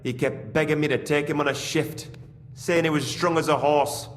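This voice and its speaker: A man's voice: fairly high pitch, steady tone, moderate pace, natural voice. An actor playing a plain-spoken, devout mine foreman with a Geordie accent and earthy dialect in a video game. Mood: grieving. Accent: Northern English, Geordie. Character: grieving working-class miner foreman, devout and plain-spoken. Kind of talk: video game dialogue acting. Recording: long cavernous reverb.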